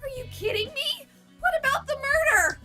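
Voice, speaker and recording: A woman acts an excitable young streamer turned amateur sleuth in a spooky game. Her voice is high and bright, with big swings in pitch. She speaks theatrically and emphatically, over music. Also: moderate pace, full character voice. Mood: alarmed, indignant. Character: excitable young woman streamer investigating a haunted hospital murder. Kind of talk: voiced game character dialogue.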